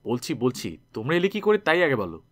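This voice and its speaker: A man's voice: mid-range voice, big pitch swings, brisk. Man as a decisive young leader. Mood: eager.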